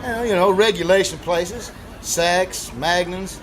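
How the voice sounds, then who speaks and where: High-pitched, easygoing cabbie. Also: male voice, slow pace, a bit bright, slight background noise, low-quality recording. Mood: helpful, curious. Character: helpful, easygoing young male taxi driver. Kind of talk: old-time radio drama acting.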